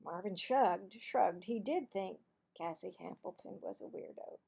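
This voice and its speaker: A woman reading a children's story aloud in an expressive, deliberate way, with the odd stumble over words. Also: mid-range voice, creaky, husky.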